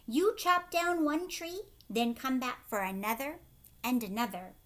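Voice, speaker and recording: A woman's voice: high-pitched, a little slow, a bit bright. Woman doing a chattering troop of monkeys. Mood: urgent warning.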